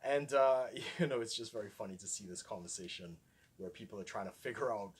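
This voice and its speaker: A man's voice: lowish voice, fairly quick. Trinidadian man with projected voice. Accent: light Trinidadian. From Trinidad and Tobago. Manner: clear projected speaking voice, frequent ums and laughs. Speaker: vlogger. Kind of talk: casual to-camera vlog.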